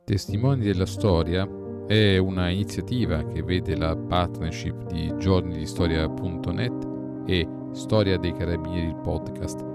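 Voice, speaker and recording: A man's voice: sits low, steady tone, measured pace, creaky. A man presenting a podcast with scripted announcements. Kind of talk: scripted intro and outro announcing. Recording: loud music bed under voice. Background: loud instrumental music.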